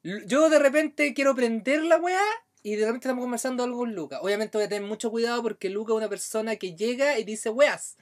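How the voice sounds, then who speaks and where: A man with a Chilean accent hosting a podcast, bantering fast in heavy slang. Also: extremely high pitch. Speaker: podcast host, comedian. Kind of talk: casual chat.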